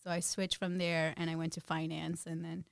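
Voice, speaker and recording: A woman's voice: sits low, level tone, normal speed, gravelly, no echo, clean studio sound. A woman in her twenties from Guyana, a podcast guest talking in a bubbly, casual way and laughing often. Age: 20s. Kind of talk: casual interview chat.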